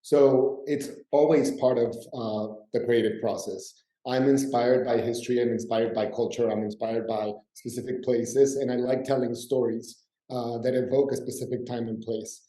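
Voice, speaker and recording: A man from Mexico City answers a panel question about his perfume work. His voice is very dark and mellow, and it sounds roomy and echoey. He speaks reflectively and pauses often. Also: medium pitch, steady tone. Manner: reflective, with frequent fillers and restarts. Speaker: perfumer and brand founder.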